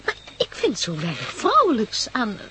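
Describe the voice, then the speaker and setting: A woman's voice: on the high side, wildly swooping pitch, moderate pace. An actress playing an emotional, tender young woman in a radio play. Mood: anxious, earnest. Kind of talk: Dutch radio play acting. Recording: noisy old radio drama recording.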